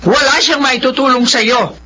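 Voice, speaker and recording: A woman's voice: high-pitched, fairly even intonation, moderate pace, pushed and bright, slightly rough, full character voice. A woman playing a protective, disapproving mother with a Filipino accent in an emphatic, pleading radio drama style. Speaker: drama voice actor. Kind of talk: melodramatic radio drama acting. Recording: dull lo-fi audio with music.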